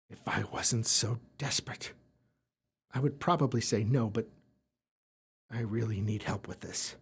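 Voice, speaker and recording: A man's voice: lowish voice, slow, long pauses, husky, full character voice. A man playing a worried, flustered professor in an audio drama, speaking hesitantly with stammers. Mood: anxious, hesitant.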